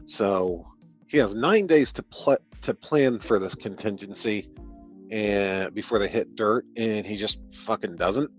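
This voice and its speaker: Sarcastic, swearing streamer, low-voiced man. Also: a little slow, muffled recording, faint music behind. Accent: Midwestern American. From Detroit area, United States. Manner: sarcastic, profane, laughing at the book. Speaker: streamer reviewing a novel. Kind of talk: casual book-review chat on a livestream.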